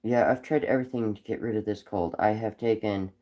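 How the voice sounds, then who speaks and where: A man with a cold and a raspy voice, chatting casually on a live stream while whittling wood, rambling with frequent ums. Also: fairly low pitch, steady tone, fairly quick, dark tone. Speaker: livestream host whittling wood.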